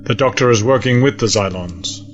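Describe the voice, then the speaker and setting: A man playing a cold, resolute alien space-fleet admiral in a sci-fi audio drama, speaking in formal, measured, stiff diction. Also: medium pitch, lo-fi sound, faint music behind, close to an everyday voice. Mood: urgent, controlled.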